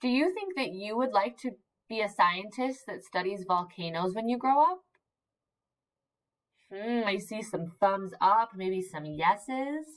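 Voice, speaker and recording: A woman teacher reading aloud to young children, expressive and encouraging, using simple emphasized words and pausing to ask questions. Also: mid-range voice, poor audio. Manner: encouraging, asks questions and pauses for answers. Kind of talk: interactive read-aloud lesson for young children.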